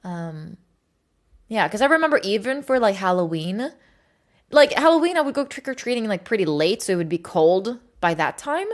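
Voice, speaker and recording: A woman's voice: medium pitch, sing-song, moderate pace. A woman from Sweden chatting casually and informally to livestream viewers, rambling with plenty of filler words and some swearing. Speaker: livestream host.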